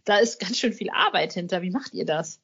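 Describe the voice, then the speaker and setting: A woman's voice: fairly low pitch, expressive, a little fast, creaky, a bit bright, husky, dull audio. A woman hosting a podcast interview in a casual, colloquial and playful way. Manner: informal, playful.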